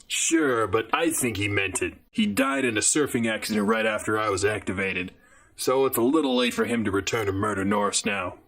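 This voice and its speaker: A man playing a blunt, bulky robot coffee maker in an audio drama, grumbling with deadpan sarcasm. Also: lowish voice, a little fast. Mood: wry.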